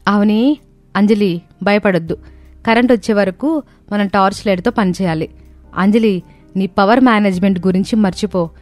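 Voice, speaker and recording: A woman's voice: mid-range voice, normal speed, pauses often, darkish tone, no room sound, soft background music. A woman reading the lines of a family story, voicing the daughters-in-law and the mother-in-law in turn. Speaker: storyteller voicing all characters.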